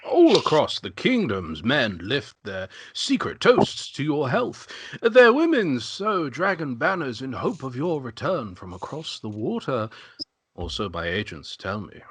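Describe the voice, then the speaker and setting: A man playing a smooth-tongued, obsequious magister and host in an amateur script table read, speaking with unctuous deference. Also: medium pitch, sing-song, unhurried, fairly bright tone, slight background noise. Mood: ingratiating.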